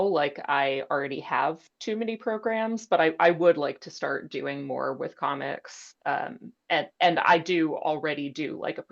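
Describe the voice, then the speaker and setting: Low-voiced woman moderating, slightly muffled. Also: creaky. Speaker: panel moderator and academic librarian. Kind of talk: conversational moderating, reading out audience questions.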